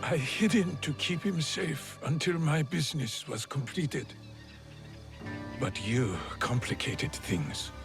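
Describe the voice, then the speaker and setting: Slow, gravelly scheming feudal lord. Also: male voice, fairly high pitch, animated, exaggerated voice. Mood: cold menace. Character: scheming feudal Japanese lord, stern father with veiled menace. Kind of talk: cinematic video game acting. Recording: music behind the voice. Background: background music.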